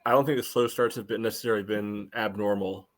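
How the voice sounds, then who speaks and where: A man's voice: lowish voice, fairly even intonation, fast talker, a bit bright. A man co-hosting a sports podcast, chatting casually with a dry, wry sense of humour. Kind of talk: casual chat.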